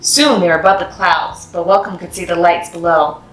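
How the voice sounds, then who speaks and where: A woman's voice: lowish voice, normal speed. A woman narrating a children's story expressively, as a read-aloud host. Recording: roomy echo, music behind.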